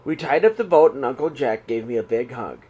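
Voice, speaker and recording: A middle-aged man reading a children's picture book aloud in a small room, slow and expressive. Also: medium pitch, narrow, tinny audio. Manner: reads slowly and expressively, voices the characters' calls. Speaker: storybook reader. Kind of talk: read-aloud storytelling for children.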